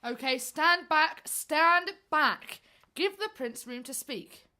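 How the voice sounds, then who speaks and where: Woman as a high-voiced, brisk royal aide. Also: expressive, sharp tone, exaggerated voice. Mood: brisk, firm. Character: Danny, the prince's practical, sceptical male chamberlain. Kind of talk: musical radio play acting.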